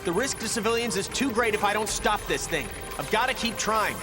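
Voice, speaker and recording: A man's voice: sits high, expressive, a little fast. A man playing an earnest, brainy and dutiful teenage mutant turtle in a video game cutscene, speaking articulately over music. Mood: strained, determined. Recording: music behind voice.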